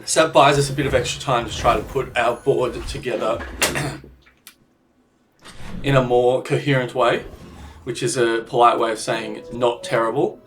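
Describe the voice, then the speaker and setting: A man's voice: medium pitch, steady tone, normal speed, recorded from a distance, over music. A man with a slight cold calling his gameplay. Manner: self-deprecating, thinking aloud about strategy. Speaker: gaming channel host. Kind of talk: gameplay commentary.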